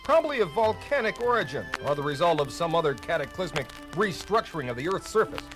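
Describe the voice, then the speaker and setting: Blunt astronaut fugitive, skeptical, folksy, big swings in pitch, 1970s adventure record, light music behind. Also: male voice, big pitch swings, fast talker. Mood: puzzled. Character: plain-spoken, skeptical male astronaut on the run. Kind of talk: 1970s audio adventure acting. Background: faint music.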